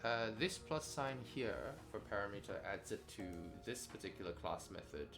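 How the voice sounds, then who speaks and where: A man learning software in a casual walkthrough, tentatively thinking aloud and checking his understanding. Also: fairly low pitch, animated, moderate pace, music in the background.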